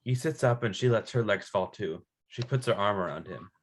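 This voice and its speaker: A man's voice: fairly low pitch, normal speed. A man reading screenplay stage directions as narrator at a table read.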